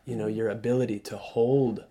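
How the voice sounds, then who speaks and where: A man's voice: on the low side, steady tone, slight background noise. A man speaking as a guest on a radio show, earnest and reflective, often restarting his sentences. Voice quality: loud voice. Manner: earnest, halting with many restarts. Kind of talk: reflective conversational talk. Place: radio studio.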